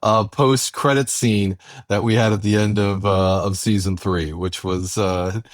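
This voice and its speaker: Low-voiced man in casual podcast chat. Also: fairly even intonation, unhurried, no room sound, studio quality. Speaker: podcast co-host.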